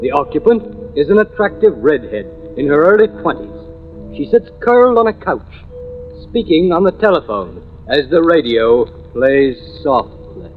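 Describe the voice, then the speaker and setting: An actor playing a theatrical, deliberate narrator who sets suspenseful scenes in an old radio drama. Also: male voice, a little high, very animated, narrow, tinny audio. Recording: thin old radio recording, faint music.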